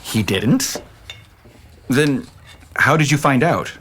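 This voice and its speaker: A family man in a naturalistic audio drama. He is plain-spoken and questioning, loyal but doubtful, with a lively, moving pitch. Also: slow, husky, exaggerated voice.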